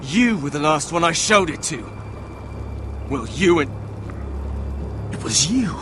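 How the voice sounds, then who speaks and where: An actor playing a hot-headed, foul-mouthed young recruit in a video game, aggressive and accusing. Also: male voice, a little high, sing-song, slow. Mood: menacing, accusing. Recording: loud music behind.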